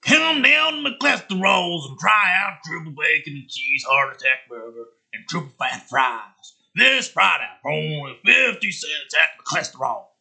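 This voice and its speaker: Man putting on a slow, drawling ad announcer. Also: a little high, lively intonation, gravelly, bright, forceful tone, breathy edge, pitched above the performer's own voice, exaggerated voice. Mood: hammy, enthusiastic. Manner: drawn-out, exaggerated. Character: over-the-top drawling fast-food radio commercial announcer. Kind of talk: mock radio advertisement.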